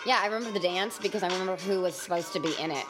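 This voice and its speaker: A woman's voice: fairly low pitch, very fast, music in the background. A young woman with a slight lisp, co-hosting a casual podcast in a dry, self-deprecating way. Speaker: podcast co-host. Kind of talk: casual chat.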